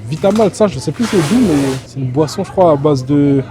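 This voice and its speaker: Fast-talking excitable male vlogger outdoors. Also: a little high, music in the background. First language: Soninke. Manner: fast, excited, exclaims often. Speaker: vlogger and host. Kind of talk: casual travel vlog narration. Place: outdoors on city streets and in shops.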